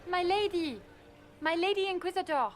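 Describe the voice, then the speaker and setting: Gushy lady-in-waiting in a fantasy game, really high voice, eager n formal. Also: normal speed. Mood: eager, effusive. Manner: formal, effusive.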